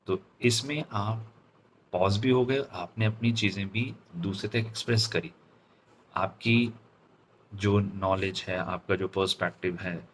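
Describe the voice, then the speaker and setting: A man with an Indian accent and a fairly low voice teaches like a public speaking coach. He moves in deliberate, halting phrases and often checks in with short tag questions. There is faint noise behind him. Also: a bit bright. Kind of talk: instructional lecture on voice modulation.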